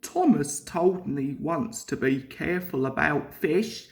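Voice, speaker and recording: Woman voicing an earnest little storybook steam engine, slow-paced. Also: low voice, animated, creaky, voice pushed down, full character voice. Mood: sad, polite. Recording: echoey room.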